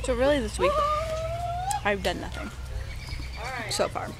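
A young woman with a high voice chats unhurriedly for a home vlog. She is playful and excitable. The handheld camera recording is clearly noisy. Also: measured pace. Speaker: vlogger filming. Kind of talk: casual home vlog chat.

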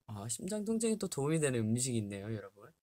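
A man's voice: medium pitch, sing-song, fast, mellow, no room sound. A young man in his twenties chatting casually on a livestream, reading health tips aloud and laughing often, in a small bare room. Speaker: livestream host. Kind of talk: casual live chat with fans. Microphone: webcam at desk distance.

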